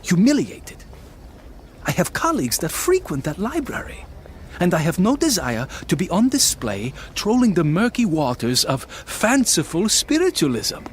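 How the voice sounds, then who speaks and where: Snooty logical husband guy, audio drama, dry n skeptical, very expressive pitch, slight bg noise. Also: moderate pace. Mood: indignant. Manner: pompous, articulate, dry. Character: skeptical, haughty intellectual husband, coolly logical and dismissive.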